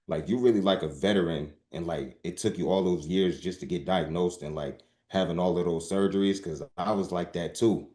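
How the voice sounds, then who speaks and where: A man's voice: sits low, moderate pace, few pauses, dark tone. A man co-hosting a podcast, speaking casually and filling his speech with "like". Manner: casual, heavy with filler "like". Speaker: podcast co-host. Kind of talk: casual conversation. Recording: roomy echo.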